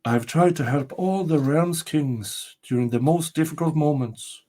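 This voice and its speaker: A man voicing a solemn, measured female castle spirit. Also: fairly high pitch, dark tone, voice pushed up, natural voice. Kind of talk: storybook folktale character voice.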